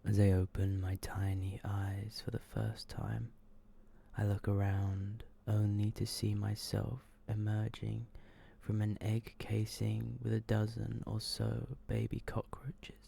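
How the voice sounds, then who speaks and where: Male ASMR horror narrator. Dark, mellow, breathy and hushed, very slow and subdued, edging into whisper. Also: fairly low pitch, monotone. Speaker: ASMR storyteller. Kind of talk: ASMR horror story reading.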